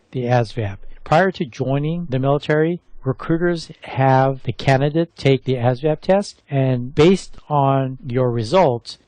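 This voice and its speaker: Mellow male instructor, dry close sound. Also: medium pitch, no echo. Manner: methodical, lists points in order. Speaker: hiring professional giving a training course. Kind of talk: instructional lecture, explanatory.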